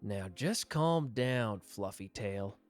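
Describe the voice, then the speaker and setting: A man's voice: on the low side, animated, slow, darkish tone, faint music behind. A man acting a suave, teasing young mafia executive with a love of fine wine, speaking playfully to the listener in a roleplay audio. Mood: coaxing, conciliatory.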